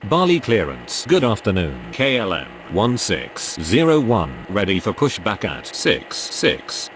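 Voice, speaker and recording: A man's voice: lowish voice, wildly swooping pitch, measured pace. A man voicing a procedural pilot and air traffic controller in a game, with clipped, scripted radio calls. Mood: businesslike. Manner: clipped, formulaic phrasing.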